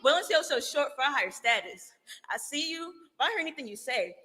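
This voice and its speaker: A woman's voice: sits high, expressive, quick pace, sharp tone. A woman performing spoken-word poetry at a live event, with a rhythmic, rhyming and cutting delivery. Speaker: slam poet. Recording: echoey hall, live performance.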